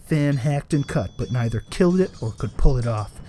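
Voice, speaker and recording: A man reading a folktale aloud as a storyteller, in expressive storybook narration. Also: mid-range voice, normal speed, slight background noise. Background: added music.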